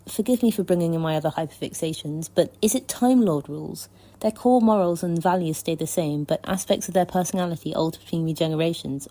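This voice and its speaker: A woman reading prepared fan commentary in a measured, articulate and thoughtful way. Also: on the low side, vocal fry, mellow. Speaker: listener contributor sending a voice message.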